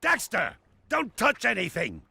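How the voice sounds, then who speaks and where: An actor playing a stern old sage in a video game cutscene, with a creaky, raspy voice. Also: male voice, high-pitched, huge pitch swings, a little slow, sharp tone, no echo, full character voice. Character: old wise male sage, stern mentor.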